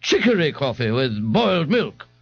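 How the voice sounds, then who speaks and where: A man's voice: high-pitched, sing-song, normal speed, husky, highs cut off, pitched above the performer's own voice, heavily acted. A man voicing a pompous, fussy, heavyset grown son who speaks grandly in an audiobook. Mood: haughty.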